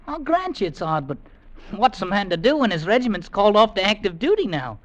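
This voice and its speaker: A man's voice: sits high, big pitch swings, a little fast. An actor playing a cheeky, earnest young British army drummer boy in an old-time radio drama. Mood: tender, manly bravado. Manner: earnest, cocky, deferential to officers.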